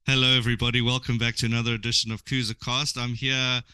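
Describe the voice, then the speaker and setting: A man hosting an interview podcast, talking in a friendly, halting way with many restarts. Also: mid-range voice, level tone, music in the background. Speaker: podcast host.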